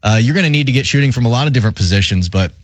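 A man's voice: mid-range voice, animated, rapid-fire, dry recording. A man co-hosting a sports radio show, breaking down a basketball team in casual talk. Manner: conversational, analytical, hedging with fillers.

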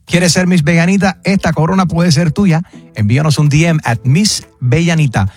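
A man's voice: a little high, normal speed, husky, faint music behind. A male radio host with an upbeat, showy promotional delivery, mixing in English phrases and repeating himself for emphasis.

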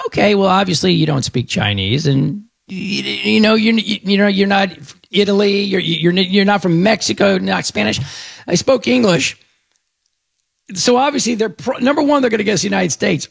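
Man from Texas, middle-aged, radio host, lively swooping delivery, slightly high voice. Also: creaky, slightly rough, no echo, studio quality. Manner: fast, animated, self-promoting. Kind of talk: rambling talk-radio monologue.

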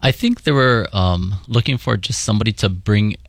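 Deep gravelly man from Hawaii. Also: normal speed, no echo, studio quality. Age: 40s. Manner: relaxed, thoughtful, with many fillers. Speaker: guest, paramedic supervisor. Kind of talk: casual interview in studio. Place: radio studio.

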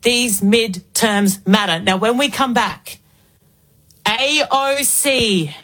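Australian woman, 40s, talk radio host; emphatic rhetorical delivery, fairly high voice. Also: slow, fairly bright tone. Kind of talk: political talk radio monologue.

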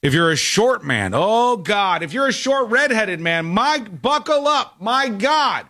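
A man with a voice pitched a little high talks in a blunt, animated way, cracking jokes and swearing now and then. The sound is close and dry, with almost no room in it. He sounds like a host riffing in casual chat. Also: unhurried, no room sound.

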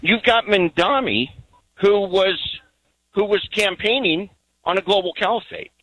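A man phones in to a talk radio show and rambles earnestly through his point, with plenty of ums. His voice sits a little high for a man, and it sounds dry and close. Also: unhurried, bright, forceful tone, husky, dry recording, poor audio. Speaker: caller.

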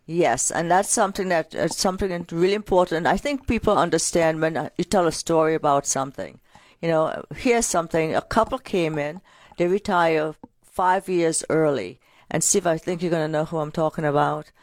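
A woman co-hosting a financial radio show, telling client stories in an energetic, talkative way. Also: low-pitched, normal speed, no echo. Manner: energetic, storytelling with client anecdotes. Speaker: radio co-host, financial advisor. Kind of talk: casual radio finance talk.

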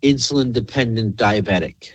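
A man's voice: lowish voice, normal speed, breathy edge, dry recording, poor audio. A man hosting a health radio show, explaining in an emphatic way and repeating phrases for effect. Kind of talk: health talk radio with product pitch.

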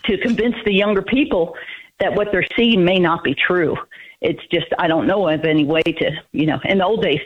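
A woman in her fifties phones in to tell a story from her school days. Her voice comes through a tinny phone line, slightly breathy. She pauses and hesitates often. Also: on the low side. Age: 50s. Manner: hesitant, nervous, many ums. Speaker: caller. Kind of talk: telling a personal story on a phone-in.

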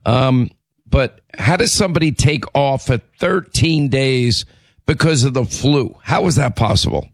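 A middle-aged man hosting a talk radio show with a hoarse, raspy voice from laryngitis, clearing his throat and talking emphatically. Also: medium pitch, a little slow, no room sound, clean studio sound. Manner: emphatic, repetitive, combative. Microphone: studio microphone.

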